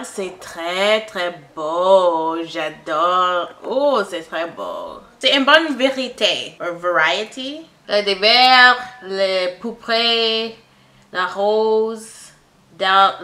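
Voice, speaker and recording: A young Canadian woman and native English speaker, chatting casually through a makeup tutorial in halting French, searching for words and laughing at herself. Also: mid-range voice, sharp tone. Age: young adult. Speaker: beauty vlogger.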